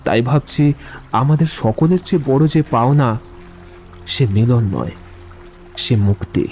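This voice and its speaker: In this audio drama a man plays a romantic poet, reflective and lyrical. His mid-pitched voice moves in measured phrases, as if reciting verse, with faint music underneath. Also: expressive, a little mellow. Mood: brooding.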